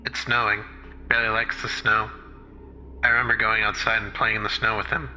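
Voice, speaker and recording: Actor as sad young woman, horror short diary, hesitant, flat intonation, lo-fi clip with faint noise. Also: mid-range voice, normal speed. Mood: sad, wistful.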